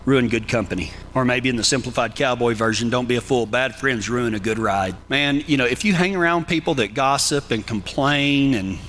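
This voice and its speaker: A man's voice: mid-range voice, moderate pace, hardly any pauses, fairly bright tone, slight background noise. A man with a Texan accent preaching a folksy sermon as a cowboy preacher, talking to listeners plainly and with humor. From Texas. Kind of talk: sermon with numbered tips.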